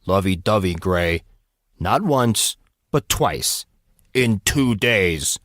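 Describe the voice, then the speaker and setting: A man's voice: lowish voice, lively intonation, slow, a bit bright, no room sound, clean studio sound. A man voicing a blunt, accusing teenage boy, clipped and matter-of-fact, in an audiobook. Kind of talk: audiobook character voice.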